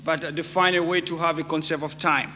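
A man from Haiti with a Haitian accent, preaching in short phrases with frequent halting pauses for an interpreter. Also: a little high, flat delivery, pushed and bright. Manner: halting, pausing often. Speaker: guest preacher. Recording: noisy echoey hall with hum.

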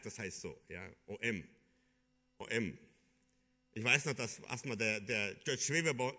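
A man from Kyrgyzstan preaching a sermon in an earnest, halting way, with many fillers and restarts. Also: on the high side, sharp tone.

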